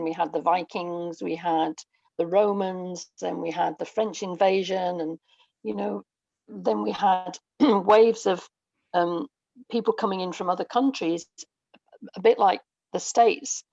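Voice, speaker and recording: A woman's voice: lowish voice, steady tone, slow, vocal fry, dark tone, no echo, highs cut off. A woman from England with an English accent, speaking reflectively and articulately as a guest in a casual interview. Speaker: guest, writer and audio dramatist.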